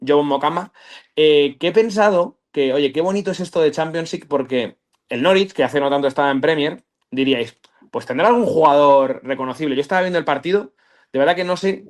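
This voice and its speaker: A man's voice: on the high side, brisk. A man, chatting casually on a football podcast, rambling with slang and restarts. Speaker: podcast co-host.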